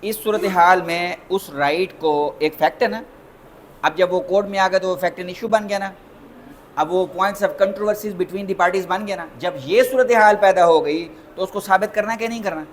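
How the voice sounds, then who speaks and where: A man's voice: sits high, moderate pace, a little hiss or hum. A man with a Pakistani accent giving an interactive lecture as a lawyer, repeating points and asking rhetorical questions, mixing in English terms.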